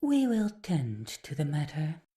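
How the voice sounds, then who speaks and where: A woman playing a commanding fey council leader in a fantasy audio drama, stately, deliberate and authoritative. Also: low voice, very animated, dark tone. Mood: regal, stern.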